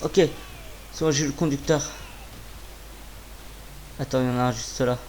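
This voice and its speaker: Slightly hoarse man doing gameplay commentary. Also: medium pitch, steady tone, normal speed, a little mellow, a little hiss or hum, rough recording. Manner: informal, muttering to himself, swearing. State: sore throat with a cough. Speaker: gaming video creator. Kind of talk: casual live gameplay commentary.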